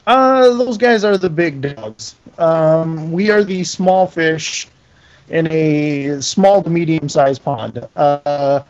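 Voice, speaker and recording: A man's voice: fairly high pitch, slow, highs cut off. A middle-aged man giving a casual interview as a guest, talking in a folksy, self-deprecating and enthusiastic way. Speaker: interview guest, pinball company owner.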